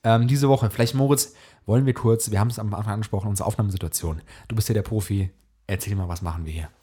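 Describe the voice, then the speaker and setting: A man's voice: fairly low pitch, fairly even intonation, fast, mellow, breathy edge. Jokey young man chatting as podcast co-host. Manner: jokey, giggly, self-mocking. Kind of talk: casual podcast chat. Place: recorded podcast on studio condenser microphone. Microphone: close condenser microphone.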